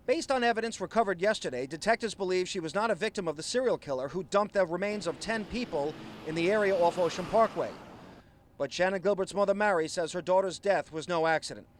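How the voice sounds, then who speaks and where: High-pitched male TV news reporter. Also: fast. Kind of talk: broadcast news reporting, live stand-ups and scripted packages.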